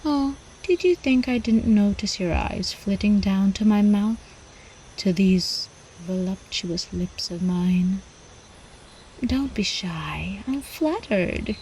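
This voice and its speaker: A woman's voice: mid-range voice, dark tone, a little hiss or hum, low-quality recording. A woman playing a seductive, possessive vampire countess in an ASMR roleplay, elegant and teasing, often slipping toward a whisper.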